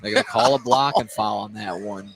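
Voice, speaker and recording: A man calling live high school basketball play-by-play on the radio as the game's announcer. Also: very animated, brisk, a crowd in the background. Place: indoor school gymnasium during a game. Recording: noisy gym, loud background noise.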